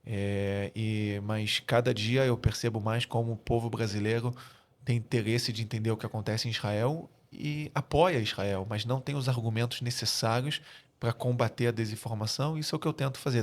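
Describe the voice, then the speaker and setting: A man in his forties from Rio de Janeiro with a Carioca accent, answering as a guest in a podcast interview, earnest and measured with frequent hesitations. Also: mid-range voice. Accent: Rio de Janeiro Brazilian Portuguese. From Brazil (Rio de Janeiro). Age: 40s. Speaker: guest, military spokesperson.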